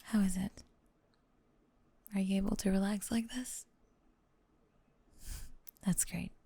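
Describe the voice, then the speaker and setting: A woman playing a doting, possessive lamia college roommate in an ASMR roleplay, speaking softly and coaxingly, close to the microphone. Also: mid-range voice, steady tone, dry recording, full character voice. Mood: tender, teasing. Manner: coaxing, doting, breathy pauses with sighs and soft giggles. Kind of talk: ASMR roleplay acting, spoken to the listener. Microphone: close microphone in a dry space.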